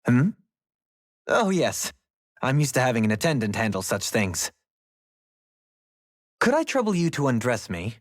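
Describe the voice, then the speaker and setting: A refined young nobleman from a video game. He speaks formally and a little pompously, in a mid-pitched voice with lively intonation, serenely unaware of how odd he sounds. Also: unhurried, pauses often, no room sound, clean studio sound. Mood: unruffled, courtly. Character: refined, unruffled aristocratic young lord, politely oblivious. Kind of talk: video game cutscene acting.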